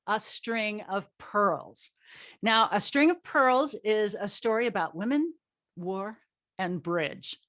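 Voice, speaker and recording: A woman hosting a panel in a fairly high voice. She reads her introduction with theatrical emphasis and slips in playful, self-deprecating asides. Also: fairly bright tone. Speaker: moderator of a cast panel. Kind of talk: scripted introduction with joking asides.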